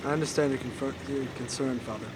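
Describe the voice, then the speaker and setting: A man acting as a gentle, forgiving Christ-like son, with an earnest, patient way of talking in film dialogue. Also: mid-range voice, steady tone, quick pace, slight background noise, close to an everyday voice, rough recording. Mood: earnest, pleading.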